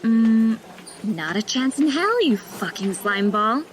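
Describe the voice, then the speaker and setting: A woman voices a new young nurse, blunt and quick to snap back. Her voice is a bit higher than most women's. Constant background noise runs behind her. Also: very animated. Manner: blunt, sharp-tongued. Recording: noisy background.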